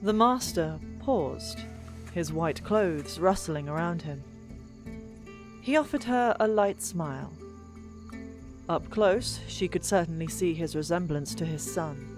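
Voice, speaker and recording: A woman narrating a fantasy audiobook in an expressive, deliberate storytelling manner. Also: on the low side. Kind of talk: dramatic scripted fiction narration. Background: background music.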